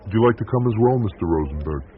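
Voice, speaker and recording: An actor playing an earnest, forgiving priest in a radio drama, solemn and preacherly in delivery. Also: male voice, on the low side, expressive, racing, close to an everyday voice. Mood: calm, challenging. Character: earnest, forgiving, hopeful priest of steady faith. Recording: dull, faintly hissy radio recording.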